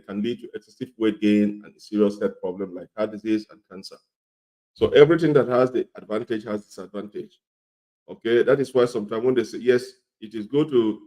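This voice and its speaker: A middle-aged man with a cold and a slightly hoarse voice, teaching an informal health lesson, repeating his points and often asking "okay?". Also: lowish voice, warm tone, rough recording. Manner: repetitive, often checks in with "okay?". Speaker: health educator.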